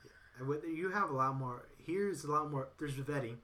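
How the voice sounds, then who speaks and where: A man's voice: on the high side, moderate pace, bright, forceful tone. A man in casual political chat, rambling and repeating himself with lots of "you know". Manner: acts out others in quoted voices.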